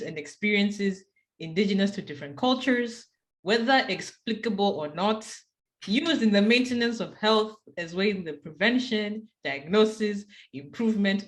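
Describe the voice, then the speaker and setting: A woman co-hosting a casual health discussion podcast, chatty and leaning on filler words as she talks. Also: medium pitch. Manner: chatty, leans on "like". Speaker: podcast co-host.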